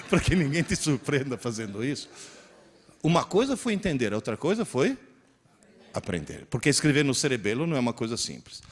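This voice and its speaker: A man in his sixties giving a lively lecture, telling stories, joking and leading the audience in exercises. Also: medium pitch, husky. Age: 60s. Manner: animated storyteller, joking, coaxing the audience.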